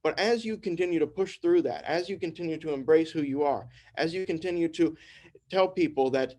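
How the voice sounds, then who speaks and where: A man's voice: on the high side, a little fast, a little mellow. A young man giving an informal, earnest spiritual talk, repeating phrases and restarting often. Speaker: trance channel and speaker. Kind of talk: informal sermon.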